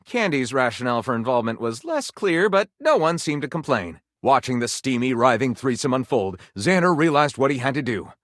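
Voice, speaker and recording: A theatrical male storyteller from a video game, spinning absurd pulp detective tales in total mock seriousness. His pitch swings widely from line to line for maximum melodrama. The recording is dry and close, with no room around the voice. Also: sing-song, fairly quick, hardly any pauses, fairly bright tone, no echo. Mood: mock-serious suspense. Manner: dramatic, mock-serious delivery with big swings. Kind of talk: theatrical scripted game narration.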